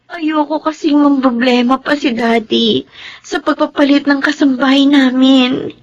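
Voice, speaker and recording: A woman's voice: sits high, steady tone, a little slow, muffled recording. A woman playing a sensitive, easily hurt young daughter in a Filipino radio drama, with a Filipino accent and an emotional delivery. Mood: troubled.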